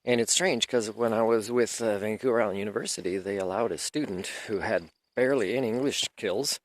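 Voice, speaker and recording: A man whose first language is French talks on in a loose, wandering monologue with an aggrieved edge. His voice sits on the low side. He stops now and then to clear his throat. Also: brisk. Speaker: video lecturer. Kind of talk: unscripted monologue.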